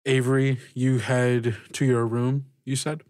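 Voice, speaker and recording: A man narrating as game master of a tabletop role-playing game, measured and halting. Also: medium pitch, flat delivery, a little mellow, no echo, studio quality.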